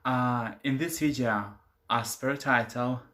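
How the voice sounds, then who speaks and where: Young male channel host, English a second language, halting delivery with many fillers, mid-range voice. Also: medium pitch, bright, forceful tone. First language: not English. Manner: hesitant, many filler sounds. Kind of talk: casual commentary to camera.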